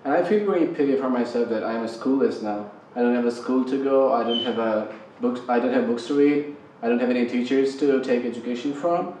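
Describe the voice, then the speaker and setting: A man speaking in a hesitant, halting way, with many fillers, on a panel. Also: medium pitch, dark tone, distant mic, a little hiss or hum. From Pakistan. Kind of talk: personal discussion. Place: studio with panel on a couch. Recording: echoey studio sound from off-mic.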